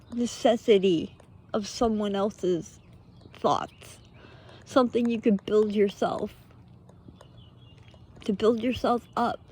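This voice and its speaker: A woman giving an earnest, unscripted spiritual pep talk in short, halting phrases, often posing rhetorical questions. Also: fairly high pitch, a little mellow, slightly rough. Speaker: motivational speaker, vlogger.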